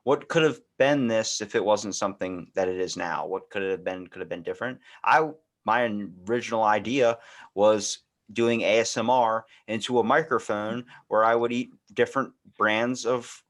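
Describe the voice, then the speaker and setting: A man's voice: fairly low pitch, moderate pace. An American man hosting a podcast, talking in a casual, rambling conversational way. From United States. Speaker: podcast host.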